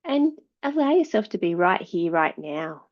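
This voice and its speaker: A woman yoga teacher guiding meditation and breathing in a measured, soothing way, leaving long pauses between phrases. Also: fairly low pitch, sing-song. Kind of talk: guided yoga and meditation instruction. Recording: dull, slightly lo-fi sound.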